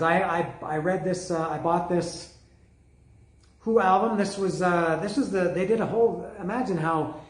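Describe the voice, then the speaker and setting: Man, casual music vlogger at home, slightly high voice, hoarse, rambling, echoey room. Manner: rambling, many fillers and restarts. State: losing his voice. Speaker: music vlogger reviewing albums. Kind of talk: casual solo video talk. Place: home room with bookshelf below camera. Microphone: camera setup mic.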